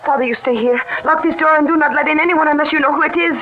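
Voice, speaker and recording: Lady playing anxious young daughter, high voice, formal, old radio drama, thin tinny sound. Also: very fast, lightly acted. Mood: urgent. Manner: formal, earnest. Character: anxious, protective young daughter of a resistance leader. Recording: thin, faintly noisy old radio recording.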